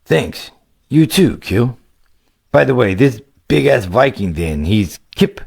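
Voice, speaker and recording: A man voicing a cheerful, eager young male gamer hero in a storybook reading, with quick excited exclamations. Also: medium pitch, gravelly, breathy edge, voice pushed up, full character voice. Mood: friendly.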